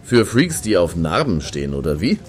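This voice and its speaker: An actor playing a crude, grumbling, pedantic clubgoer in a satirical radio play, blunt and opinionated. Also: male voice, low-pitched, sing-song, moderate pace. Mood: sceptical.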